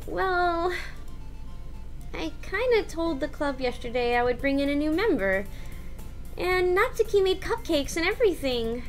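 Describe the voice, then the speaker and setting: A woman voicing a bubbly, excitable, childlike schoolgirl while reading a visual novel aloud. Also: very high-pitched, unhurried, faint music behind. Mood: bubbly, pleading.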